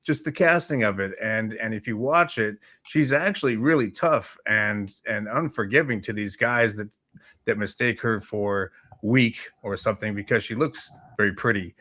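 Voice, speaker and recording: A man with a fairly low, very bright and forceful voice in a casual talk show chat. He speaks in a rambling, hesitant way, circling back and repeating words as he goes. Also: normal speed.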